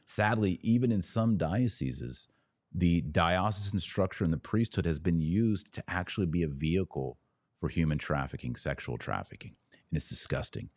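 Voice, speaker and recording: Casting: male podcast host, very low voice, close dry sound, emphatic religious commentary delivered live. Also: normal speed, darkish tone, dry recording. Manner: emphatic and preachy, with short declarative repeats.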